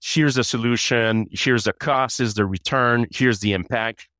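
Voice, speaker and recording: A man's voice: mid-range voice, measured pace, a bit bright, dry recording. A man from Canada, answering questions as a guest in a business interview, earnest and often repeating words as he restarts. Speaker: guest, chief people officer.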